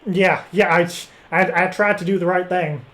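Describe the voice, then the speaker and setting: A man making a prank phone call, rambling in an earnest act with hesitant, halting phrasing. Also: high voice, steady tone, a bit bright. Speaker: prank caller. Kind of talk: improvised phone prank chat.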